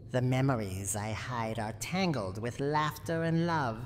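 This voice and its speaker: A woman's voice: extremely low pitch, full character voice. A woman voicing a tough, guarded granny who is secretly lonely and tender, in a wistful, teasing roleplay ASMR monologue. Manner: wistful, teasing bravado, slow dramatic delivery. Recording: noisy, long reverberant tail.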